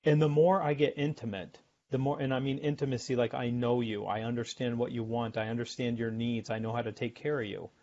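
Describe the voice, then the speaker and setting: A man's voice: medium pitch, little pitch movement, brisk, dark tone, dull audio. A man speaking as a guest expert in a podcast interview, explaining things conversationally in short, emphatic, instructive phrases.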